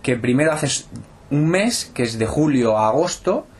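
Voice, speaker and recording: A man's voice: mid-range voice, animated, moderate pace. A young man from Spain with a southern, Andalusian-tinged accent, explaining things steadily in an interview with frequent hesitations. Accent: Andalusian-tinged Spanish. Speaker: interviewed footballer. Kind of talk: casual interview answers.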